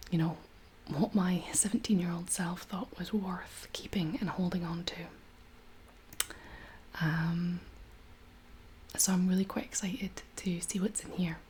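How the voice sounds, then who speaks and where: Hushed, breathy woman doing ASMR. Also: fairly low pitch, a little hiss or hum. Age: 30s. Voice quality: breathy, whispery, slightly husky. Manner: gentle, hushed, unhurried. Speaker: ASMR video host. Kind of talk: soft-spoken ASMR, casual chat.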